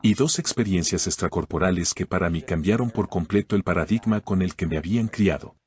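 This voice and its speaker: A man from Canada, a guest in an interview, answering questions in a calm, methodical, explanatory way. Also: sits low, brisk.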